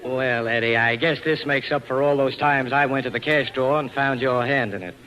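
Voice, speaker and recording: An American actor playing a guarded, smooth-talking café owner who is dry and unyielding with an old rival, in a 1940s radio drama. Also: male voice, mid-range voice, fairly even intonation, fairly quick, bright, forceful tone, muffled recording, a little hiss or hum, lightly acted. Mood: cool, dismissive.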